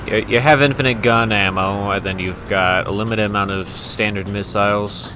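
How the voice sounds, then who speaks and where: A man's voice: lowish voice, fairly even intonation, moderate pace, music in the background. A man giving casual, rambling gameplay commentary as the laid-back host of a gaming video. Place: voice-over with game music behind.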